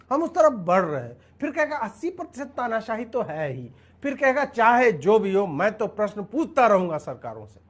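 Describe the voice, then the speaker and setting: Man, high voice, sarcastic commentary, long mocking comparison.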